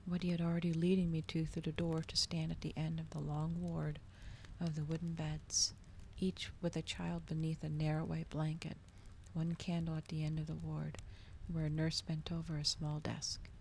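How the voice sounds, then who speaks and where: A woman reading a novel aloud in a hushed ASMR style, softly and breathily, often slipping toward a whisper. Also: low voice, completely flat delivery, normal speed, gravelly, a little hiss or hum, poor audio.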